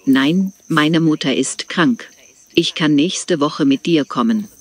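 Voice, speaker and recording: Slow, higher-voiced man in a practice dialogue. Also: no echo. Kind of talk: scripted exam dialogue.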